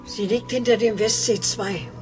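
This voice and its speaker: An actress playing an elderly, gruff and wistful cave woman in a radio drama, speaking in curt, cryptic phrases. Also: medium pitch, fairly even intonation, normal speed, music in the background, natural voice. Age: older, elderly. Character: mysterious old cave woman, gruff, wistful, keeps a fox. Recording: long cavernous reverb.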